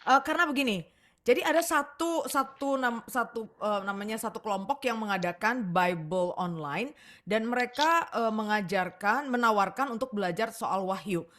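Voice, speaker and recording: Higher-pitched Indonesian woman hosting a chat show. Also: normal speed. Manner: chatty, expressive, giggly. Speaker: talk show host.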